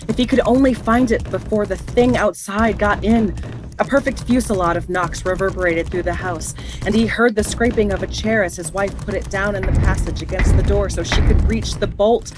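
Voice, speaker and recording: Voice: medium pitch, normal speed, lightly acted, poor audio. An actor playing a grave, suspenseful storyteller, narrating a horror tale for a radio play in a scripted dramatic style. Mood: urgent, tense.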